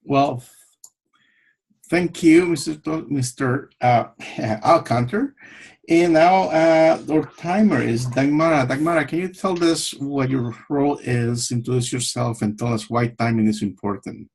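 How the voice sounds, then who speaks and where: A man from El Salvador hosting an online club meeting over a video call, friendly and unhurried with frequent fillers. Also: mid-range voice, a little mellow, breathy edge. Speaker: meeting host.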